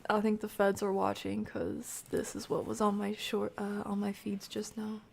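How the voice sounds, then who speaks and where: A woman hosting a game stream, chatting casually and playfully with viewers in an informal, slangy way, her voice often creaky and sometimes soft. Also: medium pitch, monotone, moderate pace, slight background noise. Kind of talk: casual chat with live viewers.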